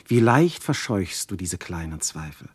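Ardent divine lover in verse radio play; husky, dry close sound, declamatory yet intimate. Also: male voice, deep voice, very animated, unhurried, no echo, full character voice. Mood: tender, insistent. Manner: declamatory, intimate, sudden hushed phrases. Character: ardent, jealous, seductive male god posing as husband. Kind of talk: classical verse radio drama.

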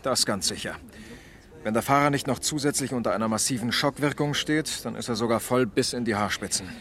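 A man's voice: mid-range voice, a little fast, a bit bright, close to an everyday voice. Guy playing police lieutenant in old 70s radio crime drama, medium voice, factual and dutiful, reporting to his boss. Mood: businesslike. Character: brisk, matter-of-fact police lieutenant, dutiful junior detective. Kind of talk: 1970s German radio crime drama acting.

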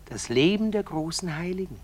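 An actor in a radio play as a sly, henpecked husband who answers his wife in a falsely sweet, soft way. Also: a little high, lively intonation, normal speed. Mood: oily.

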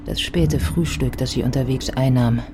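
An actress playing a wry, sober biographer who narrates a life story in a scripted radio drama. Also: female voice, rumbling low, moderate pace, very noisy. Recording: prominent music behind the voice.

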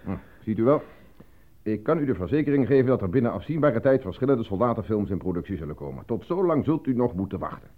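Patronizing casting agent, thin phone-like sound. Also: male voice, fairly low pitch, big pitch swings, fast talker. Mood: patronizing, evasive. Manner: formal, condescending, bureaucratic. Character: evasive, patronizing male casting agent stonewalling an unemployed actor. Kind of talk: radio drama acting. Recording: thin phone-like old radio recording.

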